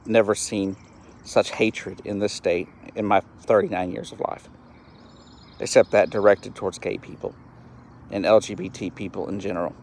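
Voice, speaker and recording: A man's voice: low-pitched, flat delivery, moderate pace, a little mellow, slight background noise. A man, hosting a solo live stream and talking earnestly and with frustration, often stopping to restart his words. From East Texas, US. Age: 30s. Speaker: host of a live-stream show.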